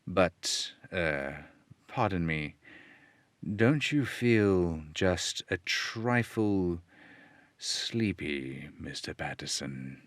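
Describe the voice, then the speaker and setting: A man voicing a sinister hypnotist villain in an old-time radio play, speaking in a slow, soft, deliberate and coaxing way. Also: sits low, expressive, dry recording, pitched below the performer's own voice, full character voice. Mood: menacing.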